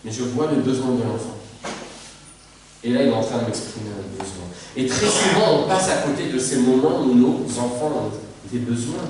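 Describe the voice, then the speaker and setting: A man from Mauritius preaching a sermon as a pastor, lively, repeating phrases for emphasis and putting rhetorical questions to the congregation. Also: mid-range voice, moderate pace, noisy background. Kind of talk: sermon on family life. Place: church. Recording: echoey church hall from far off.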